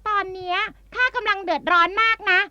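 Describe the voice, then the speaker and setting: An excitable, complaining stork for a children's tale. The voice is extremely high and piercing, recorded dry. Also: female voice, a little fast, no room sound, dull audio, exaggerated voice. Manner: plaintive, excitable. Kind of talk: acted children's storybook.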